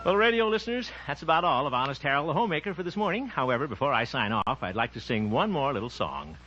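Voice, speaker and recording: A man's voice: fairly high pitch, sing-song, quick pace. A man playing a jovial, folksy small-town radio homemaker in old-time radio comedy style, chuckling and wisecracking. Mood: cheerful. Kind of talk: 1940s-50s radio sitcom acting. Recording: muffled old radio recording, music behind.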